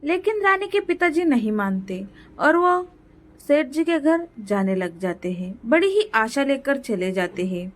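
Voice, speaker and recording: High-pitched woman storyteller. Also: very animated, measured pace. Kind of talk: scripted moral story narration.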